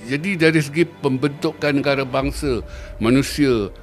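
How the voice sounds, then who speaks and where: A male professor with a Malaysian accent answers interview questions in a frank, deliberate way. Faint music plays in the background. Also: mid-range voice.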